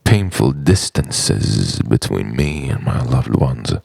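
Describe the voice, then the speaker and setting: Very deep, gravelly man, slow spoken word. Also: big pitch swings, a little mellow, no room sound, clean studio sound. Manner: dramatic, halting delivery in short phrases. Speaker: narrator of own written reflection. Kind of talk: introspective spoken-word monologue.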